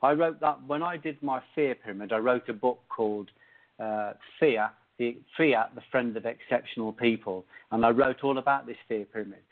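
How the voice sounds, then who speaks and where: A man's voice: medium pitch, normal speed. A middle-aged man, an author being interviewed, speaking earnestly and emphatically. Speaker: author, guest. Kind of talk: interview conversation. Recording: tinny phone line.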